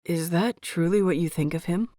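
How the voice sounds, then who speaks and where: A woman's voice: lowish voice, moderate pace, mellow, dry recording, lightly acted. An earnest young male disciple, read by a woman in an audiobook story. He is polite but determined, pleading with careful restraint in a dry, close recording. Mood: calm, intense. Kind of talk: dramatised audiobook reading.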